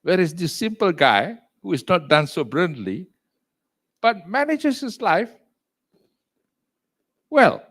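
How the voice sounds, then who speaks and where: An older man with a Malaysian accent talking as an interview guest, loud and emphatic, dropping in Malay words and leaping to high exclamations. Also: very animated, takes it slowly, pauses often. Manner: mixes in Malay words and phrases. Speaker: entrepreneur and executive chairman, interview guest. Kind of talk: interview over video call.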